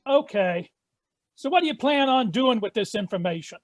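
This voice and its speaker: A haughty, sly schemer in disguise from a stage farce, played by a woman. The voice is high and theatrical, and the recording is very dry. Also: animated, moderate pace, a little mellow, no room sound. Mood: sly, threatening. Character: sly haughty man posing as his own daughter.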